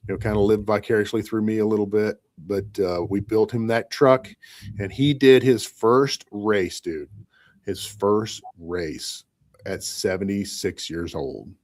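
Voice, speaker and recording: A man's voice: fairly low pitch, fairly even intonation, a little slow, a little mellow. A man in his fifties from Michigan, talking in a rambling, reflective way in a casual podcast chat. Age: 50s. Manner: rambling, reflective, often says "you know what I mean".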